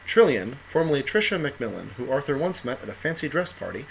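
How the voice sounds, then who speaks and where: Male narrator, mid-range pitch, very animated melody, scripted explainer read. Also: a little fast, gravelly, slight background noise, rough recording.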